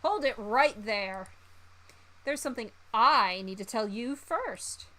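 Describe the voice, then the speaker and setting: Woman voicing an earnest, bright-voiced dreamer chef hero. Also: fairly high pitch, expressive, slow pace, full character voice. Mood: heroic.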